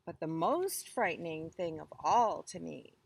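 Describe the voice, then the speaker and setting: A woman voicing a calm storybook father confiding in his son, measured and earnest. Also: fairly low pitch, gravelly, husky, dry recording, heavily acted.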